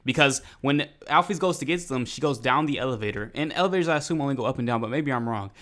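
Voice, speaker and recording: A young American man giving casual reaction commentary, animated and emphatic, rambling in slang. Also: medium pitch, fast. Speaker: reaction video host. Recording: faint music behind the voice.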